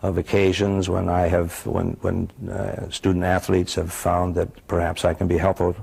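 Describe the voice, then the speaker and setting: An elderly man, a retired priest, reminiscing in an interview in halting, folksy phrases. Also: low-pitched, monotone, creaky, darkish tone, slightly rough. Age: 60s or older. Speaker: retired priest and sports announcer.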